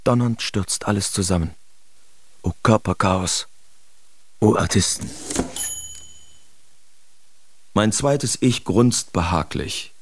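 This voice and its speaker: An actor playing a sardonic, self-absorbed bohemian letter writer in a literary radio drama, with theatrical, deliberate diction. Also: male voice, fairly low pitch. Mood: grandiose, musing. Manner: theatrical, deliberate, ornate diction with pointed emphasis.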